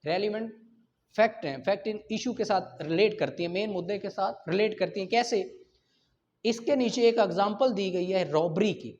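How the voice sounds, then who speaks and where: A man with a Pakistani accent and a high-pitched voice teaches law in an exam-prep lecture style. He explains didactically, posing questions and then answering them. The recording is dull and lo-fi. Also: moderate pace, darkish tone. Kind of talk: explanatory exam-prep lecture mixing Urdu with English legal terms.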